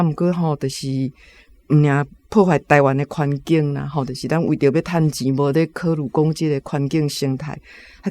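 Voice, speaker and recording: 一位男性評論者以台語口音閒談文學背景，句尾常帶「吼」。. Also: male voice, a little high. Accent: Taiwanese Hokkien. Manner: frequent 吼 tags, relaxed. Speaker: literary commentator. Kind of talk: casual commentary.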